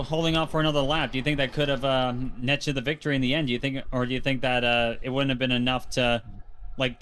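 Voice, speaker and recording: A man's voice: mid-range voice, fairly bright tone, clear, resonant tone. A man co-commentating a race broadcast and asking long, rambling interview questions full of fillers. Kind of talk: sim racing broadcast commentary.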